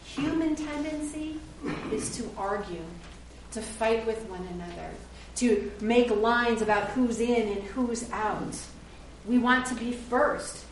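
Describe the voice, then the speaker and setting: A middle-aged woman pastor delivers an earnest, conversational sermon with a slightly high voice, now and then stopping to fix a word. She is picked up from some distance away in a church with heavy, ringing echo. A faint hiss sits under her voice. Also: takes it slowly. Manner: earnest, conversational preaching with self-corrections. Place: church sanctuary. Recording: roomy echo, heard from a distance.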